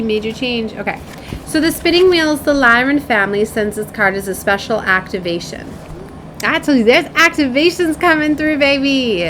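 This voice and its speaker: Clear background noise sits under an amateur recording of a woman with a fairly high voice. She reads spiritual card messages aloud in a mystical way. Her delivery is informal and familiar, like a personal tarot session. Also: a bit bright. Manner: mystical, familiar, calls people baby. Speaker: tarot and oracle card reader, spiritual channeler.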